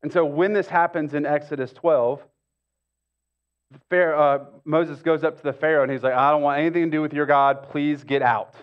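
A middle-aged man with an American accent preaches in a casual, conversational way. His voice sits a little high for a man, and he leans on repetition and rhetorical asides. The recording is slightly dull. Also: fairly even intonation, moderate pace. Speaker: pastor. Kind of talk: conversational sermon. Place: stage of a church auditorium.

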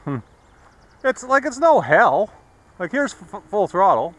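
A man's voice: high-pitched, very animated, unhurried, fairly bright tone. A man chatting casually and bluntly as he flies hobby planes, with wry, sweary remarks, recorded outdoors in an open field. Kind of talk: casual chat while flying. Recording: noisy outdoor action-camera sound.

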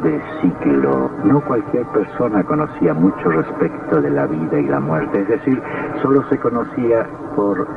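An actor playing a sinister, courtly count who narrates horror tales in a theatrical, grandiloquent old radio style. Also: male voice, lowish voice, creaky, full character voice. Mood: suave, sinister. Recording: muffled old radio, distant, loud music.

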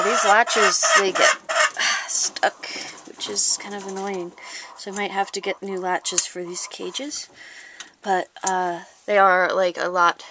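Unhurried chatty woman on a farm tour. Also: medium pitch. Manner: chatty, talks baby-talk to her animals. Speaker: small farm owner showing her animals. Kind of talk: casual handheld farm tour. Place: outdoor coop and rabbit hutches. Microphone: handheld camera held close. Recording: noisy outdoor camera audio. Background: clucking chickens and birds.

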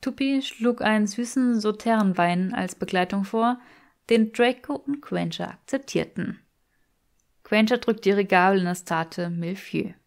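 A woman's voice: medium pitch, unhurried, vocal fry. A woman reading scripted fiction aloud as an audiobook narrator.

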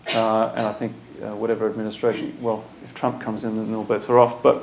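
A man's voice: lowish voice, flat delivery, brisk, gravelly, noisy background. A man, a former diplomat speaking bluntly as a guest at a panel talk in a lecture room. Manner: blunt, opinionated, speaks in short punchy statements. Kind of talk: panel conversation. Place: lecture room with a seated audience.

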